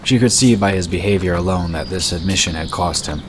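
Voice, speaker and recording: A man narrating a fantasy story in a steady, measured storytelling voice. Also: deep voice, background noise. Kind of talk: scripted audiobook narration.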